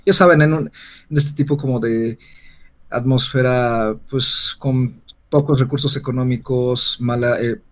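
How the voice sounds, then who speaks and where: A man's voice: mid-range voice, steady tone, measured pace. A man talking casually as a podcast panelist, in a hesitant, rambling storytelling way. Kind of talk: casual chat.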